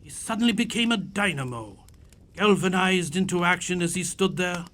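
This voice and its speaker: An actor playing a proper, earnest gentleman and storyteller in a period audio mystery, with an expressive manner. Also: high voice, normal speed, very noisy, close to an everyday voice. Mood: reflective, admiring.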